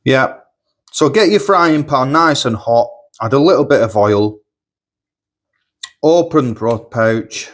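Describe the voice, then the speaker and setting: A man's voice: medium pitch, animated, takes it slowly, long pauses. Guy doing a food review at home, bit sniffly, rambly and chatty. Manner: chatty, rambling, repeats himself. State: sniffly with a cold. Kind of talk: casual food review vlog. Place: home kitchen.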